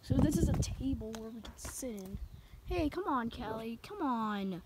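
Young girl, chatty vlogger, fairly high voice, noisy phone audio. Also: expressive, normal speed. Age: child. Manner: chatty, rambling. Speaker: channel host. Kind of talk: home tour vlog. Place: house and yard, indoors and outdoors. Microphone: handheld phone camera.

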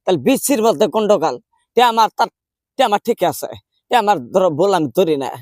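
A man's voice: high voice, animated, a little fast, a little mellow, dry recording, clean studio sound. A man voicing a poor, complaining, argumentative old village grandmother in a rural Bangladeshi dialect for a comic prank call. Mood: angry, accusing.

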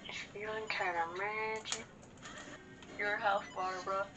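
A woman's voice: medium pitch, little pitch movement, measured pace, low-quality recording. A woman talking casually with a friend while they play video games. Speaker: gamer, co-host of a let's play show. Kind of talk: casual chat while gaming. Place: indoors, room with a TV screen. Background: video game music.

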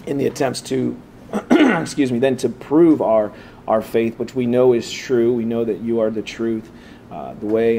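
Man, mid-pitch, slightly raspy church teacher; halting, filler-heavy delivery; low hum under the recording. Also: warm tone. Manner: halting, many "uh" fillers and restarts. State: slightly raspy from preaching, clearing throat. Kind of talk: opening prayer and Bible class teaching.

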